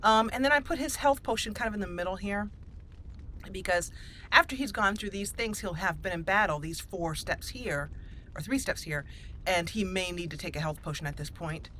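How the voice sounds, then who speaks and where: Bright-voiced woman gaming host. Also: medium pitch, moderate pace, a little hiss or hum. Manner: chatty, explanatory. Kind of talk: casual gameplay commentary.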